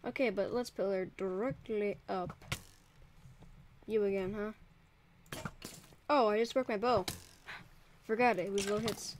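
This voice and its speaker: A man's voice: sits high, fairly even intonation, measured pace, long pauses, slight background noise. A young man, probably a teen, casually commentating a Minecraft let's play as server host, rambling and easily startled. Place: home room by a window. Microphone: desk mic, drifts off it.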